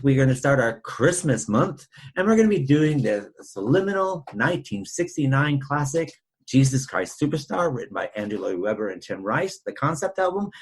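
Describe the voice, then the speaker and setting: Husky-voiced man hosting a podcast. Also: mid-range voice. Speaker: podcast host. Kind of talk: casual podcast sign-off.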